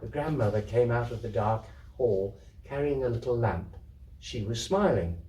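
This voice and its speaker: A man giving an expressive live reading of a literary story indoors. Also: medium pitch, moderate pace. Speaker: theatre troupe reader. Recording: roomy echo, distant microphone.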